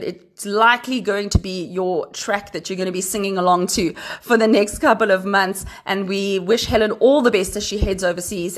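A woman's voice: medium pitch, fairly bright tone. A woman with a South African accent hosts a radio show, presenting and interviewing in an effusive, enthusiastic manner.

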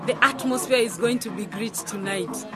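A woman's voice: fairly high pitch, normal speed, over music, natural voice. An actress playing an affectionate, teasing village woman, no longer young, in a radio drama. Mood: warm, reassuring.

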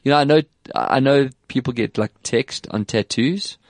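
A man with an English South African accent hosting a casual tech chat, animated and quick to put on voices when quoting people. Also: mid-range voice, no room sound. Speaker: podcast host.

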